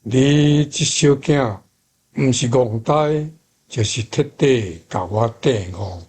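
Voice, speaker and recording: A man with a Taiwanese accent giving measured example translations as a language teacher. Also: medium pitch, a little mellow, husky.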